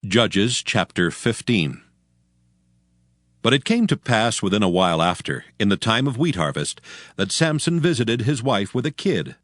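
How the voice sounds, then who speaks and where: A man narrating scripture dramatically in archaic English, solemn and measured. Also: lowish voice, big pitch swings, fairly bright tone.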